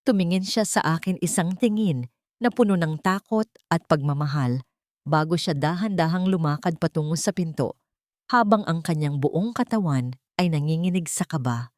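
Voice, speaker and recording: Low-pitched woman narrating drama. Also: lively intonation, normal speed, a little mellow, no echo, clean studio sound. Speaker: story narrator. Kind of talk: dramatic scripted first-person story narration.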